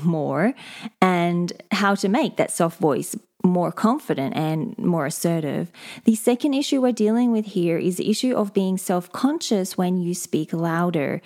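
A woman, a leadership coach, talking alone on her podcast, explaining things in a steady, measured way. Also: lowish voice. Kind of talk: solo podcast monologue.

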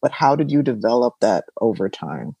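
Man, 30s, mellow, measured questions, dry close sound. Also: mid-range voice, big pitch swings, slightly rough, no room sound. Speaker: podcast host. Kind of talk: interview questions.

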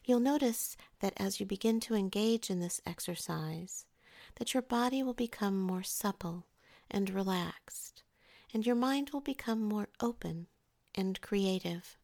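A woman narrating a scripted guided meditation in a soothing, measured way, softening the ends of her phrases. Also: mid-range voice, creaky.

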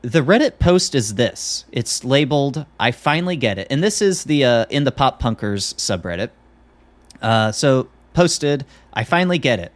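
A man's voice: mid-range voice, big pitch swings, moderate pace. A male podcast host with a mid-range voice, chatting casually. He talks in an easygoing, conversational way, as if to a co-host and regular listeners.

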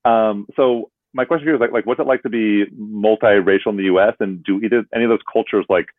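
A young man co-hosting a podcast in casual chat, rambling quickly and filling his talk with "like.". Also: on the low side, steady tone, no room sound. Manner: quick, rambling, says like a lot. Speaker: podcast co-host. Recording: dry, tinny phone-like line.

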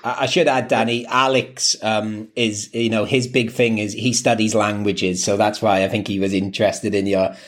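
A man hosting a casual football interview, speaking haltingly and repeating words as he goes. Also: lowish voice, little pitch movement. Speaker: host of a football fan podcast.

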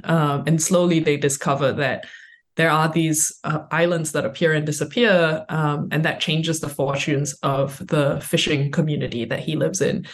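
Woman author explaining in conversation. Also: low voice. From Singapore. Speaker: guest author. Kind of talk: conversational interview answers.

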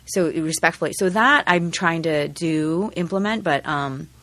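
A woman guest in a casual studio discussion, talking chattily with many pauses and fillers. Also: deep voice, fairly bright tone. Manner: chatty, hesitant, filler-heavy. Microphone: studio microphone.

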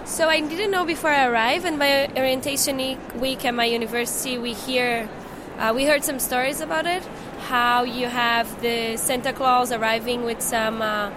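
A woman's voice: sits high, steady tone, normal speed. A woman in her twenties from Brazil, a student, answering thoughtfully with some hesitation. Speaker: interviewee, student. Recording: noisy outdoor recording.

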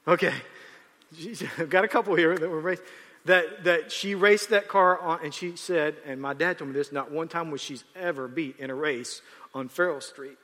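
Male pastor, fairly high, bright, forceful voice; rambling conversational sermon with long hall reverb. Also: normal speed. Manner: rambling, self-correcting, laughs at times.

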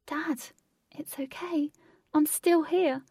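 A woman's voice: a little high, lively intonation, a little slow, warm tone, dry recording, studio quality, voice pushed up. A woman voicing a frank, level-headed young woman with a casual manner, in a story. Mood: pained. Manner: casual, matter-of-fact.

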